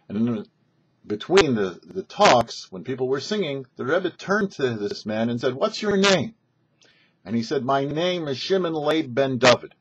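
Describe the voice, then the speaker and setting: An American man narrates a religious story in a mid-pitched, husky voice. He tells it as an anecdote, voicing bits of dialogue as a host would. The recording is a little dull and lo-fi.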